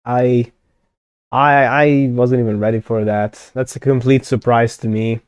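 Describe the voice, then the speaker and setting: A man's voice: medium pitch, fairly even intonation, a little slow, smooth, no echo. A young Hungarian man chatting casually on a live stream, thinking aloud with many fillers and self-corrections as he plans a constructed language. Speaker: conlang streamer.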